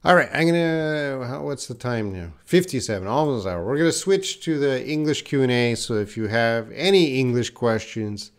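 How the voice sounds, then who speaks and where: A man's voice: mid-range voice, lively intonation, moderate pace, hardly any pauses, clear, resonant tone. A man, an English teacher, chatting on a live-stream class and answering viewers' comments as he reads them. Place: in Korea.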